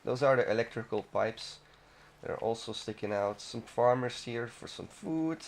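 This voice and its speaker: A man's voice: medium pitch, moderate pace, creaky, a little hiss or hum. A man hosting a gaming video, giving casual, unscripted walkthrough commentary in a rambling, self-interrupting manner.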